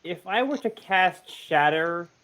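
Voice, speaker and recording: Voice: mid-range voice, lively intonation, slow, a bit bright. A player in an online tabletop game, asking tentative questions about spells and rolls, often laughing. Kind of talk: casual tabletop play.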